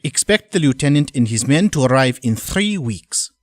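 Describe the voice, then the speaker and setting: A man playing a formal, businesslike mercenary colonel, reading a letter in an audio drama with measured delivery. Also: mid-range voice, lively intonation, no room sound, clean studio sound, lightly acted.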